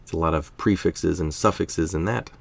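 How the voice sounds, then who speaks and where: Casting: tired man, middle-aged, low creaky voice, informal article reader who drops in offhand remarks. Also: moderate pace. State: tired late at night. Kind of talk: reading an article aloud with casual asides.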